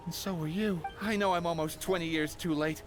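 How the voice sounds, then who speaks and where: An actor plays a grieving man in a video game, consumed by guilt and regret. His voice is high for a man, halting and anguished, over faint music. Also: fairly even intonation, lightly acted. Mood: anguished, regretful.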